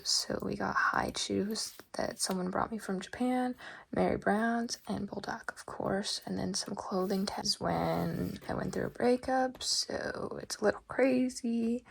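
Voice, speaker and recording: A young woman flips through her journal, speaking softly, often close to a whisper, with lots of ums. Her voice is strongly gravelly and breathy, raspy for the day. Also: medium pitch. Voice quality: gravelly, husky. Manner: soft, often half-whispered, rambling with ums. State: raspy voice today. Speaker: journal vlogger. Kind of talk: casual journal flip-through.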